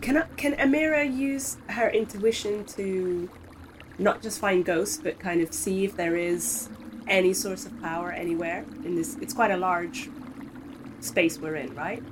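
Slow-paced woman player, noisy background. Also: medium pitch. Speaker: tabletop game player. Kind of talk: casual game table talk. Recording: clear steady background noise.

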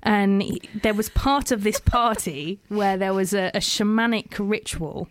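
A woman's voice: mid-range voice, a little slow. A woman co-hosting a podcast who tells an amused story in a casual chat.

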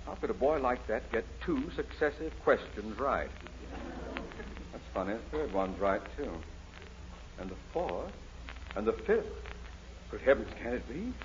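An actor playing a pompous, excitable university dean with theatrical delivery in a 1940s radio comedy, on a noisy old recording. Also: male voice, medium pitch, a little slow, pauses often, dull audio. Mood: suspicious, puzzled.

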